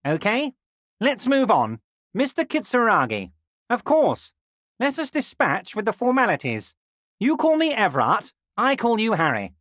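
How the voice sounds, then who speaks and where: An actor playing a scheming middle-aged union boss who flatters in a smug, drawn-out way, in a video game. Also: male voice, sits high, dry recording, studio quality, heavily acted. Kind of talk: video game character dialogue.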